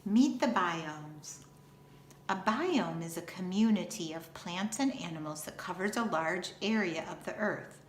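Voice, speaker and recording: A woman in her fifties, a teacher reading a children's picture book aloud in an expressive, deliberate way. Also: lowish voice. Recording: roomy echo, faint background noise.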